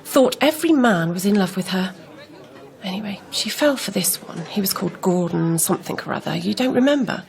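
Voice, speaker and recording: A woman's voice: medium pitch, animated, brisk, creaky, a little mellow. An older woman acting a forthright, disapproving aunt with a grievance in a naturalistic radio drama. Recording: murmur of a crowd behind.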